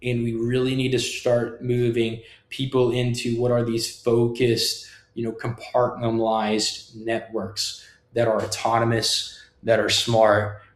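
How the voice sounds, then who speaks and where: A man's voice: mid-range voice, monotone, measured pace. A middle-aged man giving an informal, emphatic, rambling tech talk, punctuated with short checking questions. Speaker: software developer presenting own project. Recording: echoey room.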